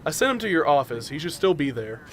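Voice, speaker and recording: A man's voice: on the high side, sing-song, quick pace, fairly bright tone, slight background noise. A man playing a sheepish junior cop. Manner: hesitant, jokey. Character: nervous joking male junior officer. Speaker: police officer.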